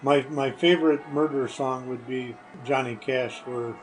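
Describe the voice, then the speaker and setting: Older man giving plainspoken, halting answers. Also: mid-range voice, fairly even intonation, faint music behind, low-quality recording. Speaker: podcast guest, hosts' father. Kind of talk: casual interview answers.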